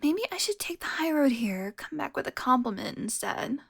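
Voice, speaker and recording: Female vampire voiced by a woman for intimate audio roleplay: predatory, mocking, seductive, fairly high voice with whispered touches. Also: animated, moderate pace, a bit bright, full character voice. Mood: mocking, flirtatious. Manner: taunting, sultry, drops into breathy whispers. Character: teasing, predatory female vampire, smug and flirtatious.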